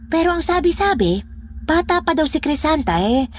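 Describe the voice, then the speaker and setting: A woman's voice: sits high, very animated, moderate pace, slight background noise. An actress playing an older female security guard, nosy and gossipy, in a horror audio drama. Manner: inquisitive, gossipy.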